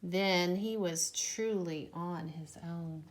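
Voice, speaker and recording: A woman reading a children's storybook aloud expressively, with measured, deliberate phrasing. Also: fairly low pitch, heavy background noise.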